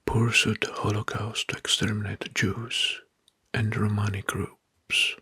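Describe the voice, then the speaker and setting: A man whispering an ASMR history narration into a close microphone, hushed and deliberate, softly repeating key phrases. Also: lowish voice, little pitch movement, no echo. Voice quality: breathy, mostly unvoiced whisper. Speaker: ASMR host.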